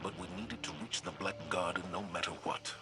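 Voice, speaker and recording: Man as stern, low-voiced military commander. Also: steady tone, fairly quick, close to an everyday voice. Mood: resolute. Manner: firm, serious. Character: stern, principled military commander, burdened and resolute. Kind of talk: video game voice acting. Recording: music behind the voice.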